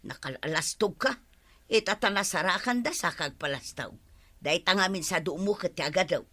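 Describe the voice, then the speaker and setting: A woman's voice: on the low side, moderate pace, a bit bright. A woman with an Ilocano accent acting as a village mother in a comedy drama. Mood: scolding. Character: worried village mother, grateful and respectful.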